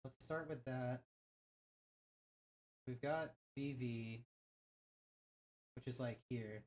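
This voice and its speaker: A man's voice: medium pitch, drawn-out words, a little mellow. A man in his thirties chatting casually on a live stream, musing aloud into a close mic. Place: dim home streaming room. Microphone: close desk microphone.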